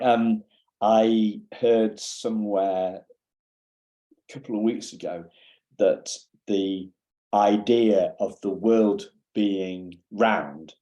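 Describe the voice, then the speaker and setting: A man hosting a podcast interview in a relaxed, mellow and fairly low voice. He speaks slowly and haltingly, often repeating words as he feels out a question. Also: poor audio. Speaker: podcast host. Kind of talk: conversational interview.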